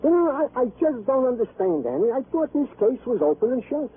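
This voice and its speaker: A man playing a chatty, proud, comical American police sergeant in an old radio drama, husky and pompously folksy. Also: extremely high pitch, big pitch swings, brisk, slight background noise. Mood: earnest. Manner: pompous mock-formal wording, folksy. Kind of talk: 1950s radio comic-relief acting. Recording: muffled vintage radio broadcast recording.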